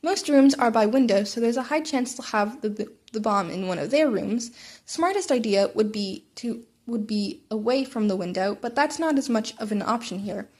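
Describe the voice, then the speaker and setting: An earnest teenage boy hero in training , acted out by a woman narrator, with a fairly high voice. He thinks aloud in a hesitant, analytical ramble, as in a storybook reading. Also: expressive, moderate pace, darkish tone. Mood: analytical, focused.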